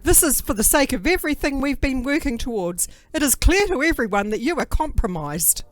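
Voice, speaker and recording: A woman's voice: high voice, sing-song, a little fast, dry recording, faint music behind. Woman acting as a cold, imperious dragon commander. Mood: commanding. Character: stern, cruel dragon mother and military commander. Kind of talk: audio drama acting.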